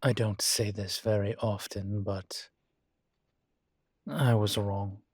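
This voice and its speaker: A man playing a weary incubus college professor, gruff but fond, in an intimate scripted audio roleplay, speaking haltingly with sighs. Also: fairly low pitch, steady tone, darkish tone, no room sound, clean studio sound. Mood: sincere, admitting. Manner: slow, halting, sighing, candid.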